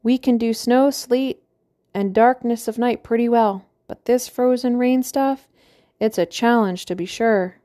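A woman's voice: on the high side, measured pace, dark tone, pitched above the performer's own voice, close to an everyday voice. A woman voicing a matronly, teasing small-town postmaster with a Midwestern accent and a chatty, joking manner.

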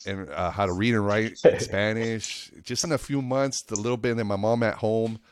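Low-voiced man, dry close sound. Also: fairly even intonation, normal speed, dry recording. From United States, Lake Tahoe and Reno area of Nevada. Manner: slangy, swearing, earnest, rambling. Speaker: stand-up comedian. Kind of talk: casual podcast chat, anecdotal.

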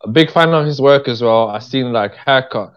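A man's voice: mid-range voice, moderate pace, clear, resonant tone, highs cut off. A British man talking as an interview guest in an informal, slangy, emphatic way, often checking that the listener follows. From Birmingham, England. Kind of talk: casual conversational interview.

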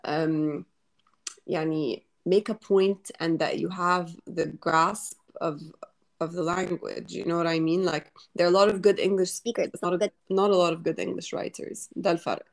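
Egyptian woman in her twenties, low-voiced. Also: fairly even intonation, moderate pace, gravelly. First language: Arabic. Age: 20s. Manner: thoughtful, mixes Arabic words into English, many restarts. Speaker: podcast host and writer. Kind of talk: casual interview talk.

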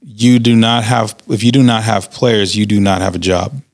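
Gravelly low-voiced male interviewer. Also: moderate pace, dry recording, studio quality. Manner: energetic, many "uh" fillers. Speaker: podcast host interviewing coaches. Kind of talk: casual interview.

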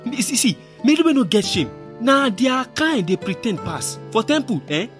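A man's voice: very high voice, sing-song, normal speed, over music. A man acting a scornful, stubborn older village man in a radio drama, with a Nigerian accent and an emphatic, scoffing delivery. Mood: scornful gossip. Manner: emphatic, scoffing, with repeated exclamations.

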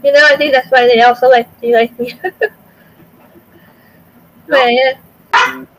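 A woman hosting a podcast interview, soft-spoken and agreeable, speaking softly. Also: sits high, fairly even intonation, normal speed, poor audio. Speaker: podcast host. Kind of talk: casual interview chat.

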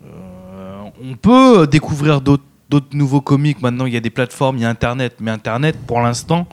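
A man's voice: mid-range voice, brisk, creaky. A man from France hosting a casual chat, talking loosely and in slang. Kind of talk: casual conversation.

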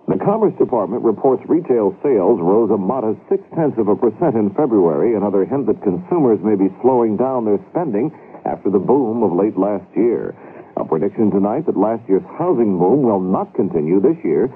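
American guy reading 70s radio news, formal, lively pitch, tinny recording w/ faint hiss. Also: normal speed. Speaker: news anchor. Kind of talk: 1970s radio newscast, scripted. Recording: phone-like 1970s radio broadcast, faint noise.

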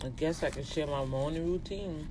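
A podcast host with a Louisiana accent who talks in a slow, deliberate teaching manner during a casual monologue. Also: medium pitch, background noise. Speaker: podcast host and tax office manager.

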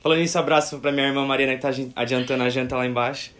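Fast-talking, jokey young-sounding man. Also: mid-range voice, fairly even intonation, fairly bright tone. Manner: quick, jokey, playful. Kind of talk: casual video-call interview.

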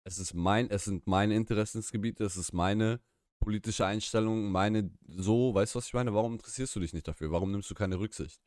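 Young guy, russian his first language, deep voice, rambles and restarts a lot, dry close sound. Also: brisk, a little mellow, no room sound. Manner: rambling, self-correcting, opinionated. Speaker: podcast co-host. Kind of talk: casual chat. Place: small room, live stream.

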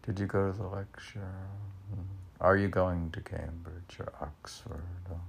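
A man with an extremely deep voice full of creak and gravel rambles through a podcast. He takes his time, halting and stretching his phrases. His tone is husky, and thoughts often trail off as he muses like a poet. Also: fairly even intonation. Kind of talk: rambling podcast musing, asking and answering himself.

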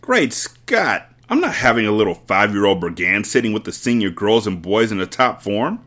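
A man reading aloud and voicing a huge, bullying headmistress who barks and shouts with contempt. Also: on the low side, big pitch swings, normal speed. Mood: bellowing scornful. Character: bullying hulking female headmistress, furious.

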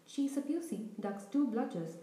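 Woman playing a cheeky young commentator, loud excited play-by-play, fairly high voice, echoey room. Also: normal speed. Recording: roomy echo.

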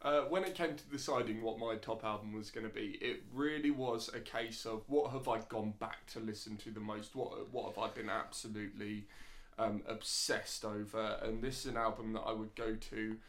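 A man hosting a podcast, counting down his favourite albums with enthusiasm, sounding a little husky after a night out. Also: fairly low pitch, fairly even intonation, moderate pace. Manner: enthusiastic, builds lists of comparisons, filler ums. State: slightly husky after drinking. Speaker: podcast host. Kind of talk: casual music review chat.

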